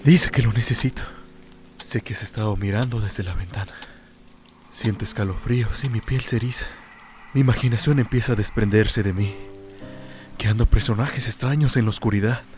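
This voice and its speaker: An actor playing a lonely, tormented drunk sinking into fear and madness in a brooding, theatrical radio drama monologue. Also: male voice, on the low side, moderate pace, a little mellow, faint music behind, natural voice. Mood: brooding, melancholic. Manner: brooding, theatrical first-person soliloquy.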